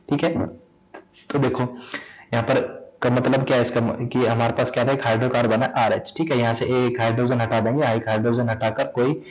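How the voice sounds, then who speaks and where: A man from India teaching a chemistry lesson in Hindi. He has a sore throat and clears it often. He keeps asking questions and answering them himself, and repeats each point. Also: mid-range voice, poor audio. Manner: repetitive, asks and answers own questions. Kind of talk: explaining a lesson.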